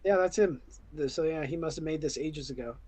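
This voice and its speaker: Higher-pitched man, casual show-and-tell. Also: a little fast, dark tone. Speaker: panelist presenting finds.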